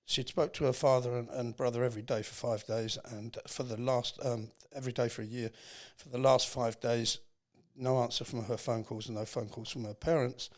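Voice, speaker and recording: An English man speaking as a podcast guest, telling a personal story in an earnest, halting, reflective way. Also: mid-range voice, steady tone. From England.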